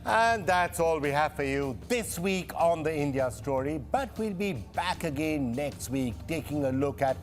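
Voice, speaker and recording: A male news anchor putting formal, measured questions to a guest in a broadcast interview. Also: on the high side, lively intonation, soft background music.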